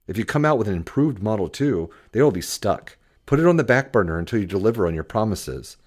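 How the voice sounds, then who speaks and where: A narrator voices a practical male store owner in an audiobook memoir. He is blunt and firm, giving advice in a lowish voice with varied intonation. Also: very animated, quick pace, a little mellow. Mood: cautioning. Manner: firm, advising.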